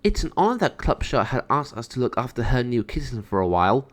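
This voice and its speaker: A man's voice: medium pitch, sing-song, a little fast, lightly acted. A man voicing a friendly, earnest young male cat hero in a children's storybook. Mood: excited. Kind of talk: children's storybook read-aloud.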